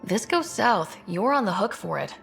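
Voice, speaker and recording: A woman's voice: sing-song, moderate pace, gravelly, fairly bright tone, soft background music. A woman playing an assured, direct expedition commander in a video game. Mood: stern. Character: young woman pathfinder, steady, teasing expedition commander. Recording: faint engine rumble behind.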